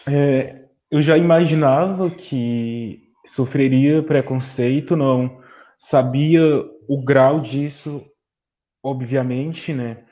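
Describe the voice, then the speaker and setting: A man from Maranhão, a guest, speaking in a measured, reflective way. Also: mid-range voice, warm tone. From Maranhão, Brazil. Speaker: guest, student activist. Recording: dull lo-fi call audio.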